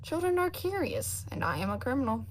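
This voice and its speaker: Woman as clipped, scornful criminal, swooping pitch. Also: big pitch swings, brisk, slight background noise, rough recording. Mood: cool, dismissive. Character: unrepentant criminal under questioning, coolly scornful.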